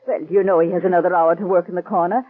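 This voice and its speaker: Fretful mother, flustered exclamations, husky voice, tinny 1940s radio broadcast. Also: fairly low pitch, steady tone, rapid-fire. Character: fretful middle-aged Irish-American mother.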